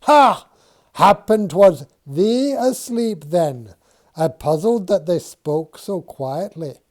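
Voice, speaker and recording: A man doing an excitable old-fashioned surveyor. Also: high-pitched, slow, pitched above the performer's own voice. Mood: insistent. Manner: archaic thee-and-thou speech, loud and agitated. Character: excitable Quaker surveyor man, earnest, easily startled.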